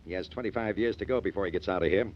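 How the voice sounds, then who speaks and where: A man's voice: low-pitched, very fast, slight background noise, close to an everyday voice. A man playing an authoritative but caring prison warden in a 1940s radio drama. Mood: cautioning. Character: stern but secretly concerned male prison warden. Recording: dull vintage radio recording.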